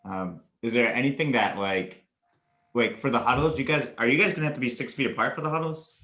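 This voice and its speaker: A man hosting a podcast, asking questions in halting, rephrased bits. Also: medium pitch, pushed and bright. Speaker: podcast host. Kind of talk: interviewing.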